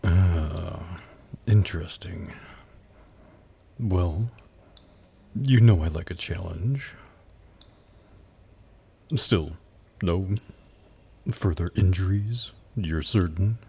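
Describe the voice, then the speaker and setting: A man performs a suave demon buyer for an ASMR audio roleplay, haughty and flirty. The voice is very low and heavily husky, with a gravelly creak. The delivery is sardonic and teasing, full of skeptical pauses. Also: warm tone, exaggerated voice. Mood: intrigued, calculating.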